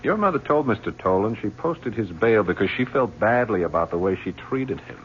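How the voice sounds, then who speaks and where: Actor as a shrewd police lieutenant, animated delivery. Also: male voice, fairly low pitch, fairly quick, a little hiss or hum, lightly acted. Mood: probing. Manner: probing, insinuating, controlled. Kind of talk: 1970s radio drama acting. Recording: muffled, humming old radio broadcast.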